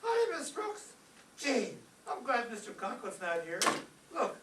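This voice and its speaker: An actor playing a mischievous, excitable high school boy with gee-whiz eagerness in an old radio comedy. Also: a little slow. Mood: gleeful. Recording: echoey stage, distant mic, faint noise.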